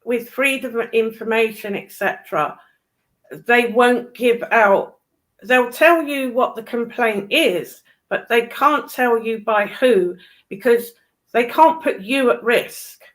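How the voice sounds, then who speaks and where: A woman's voice: fairly high pitch, takes it slowly, fairly bright tone, rough recording. A woman explaining things in a casual discussion, emphatically repeating her points for stress.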